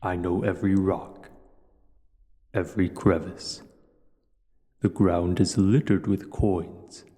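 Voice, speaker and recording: Deep and unhurried, this is a huge ancient dragon speaking in an audio roleplay. The voice is dark and very slow, with a patient, taunting menace. It rolls through a long cavernous reverb. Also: male voice, little pitch movement. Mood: menacing, taunting. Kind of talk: scripted audio roleplay acting. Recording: cavernous long reverb, some background noise.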